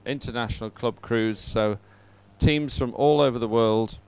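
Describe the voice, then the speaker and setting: Smooth-voiced male sports presenter. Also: mid-range voice, unhurried. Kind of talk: live sports event presenting.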